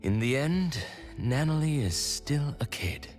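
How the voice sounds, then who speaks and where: A male actor playing a calm, thoughtful companion in a video game. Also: medium pitch, a little slow, soft background music, natural voice. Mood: concerned.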